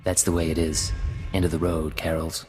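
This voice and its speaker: An actor voicing a cool, terse secret agent in a video game, speaking in clipped, understated lines. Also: male voice, a bass voice, little pitch movement, moderate pace, a little mellow, slight background noise. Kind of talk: video game cut-scene acting. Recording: long hall reverb.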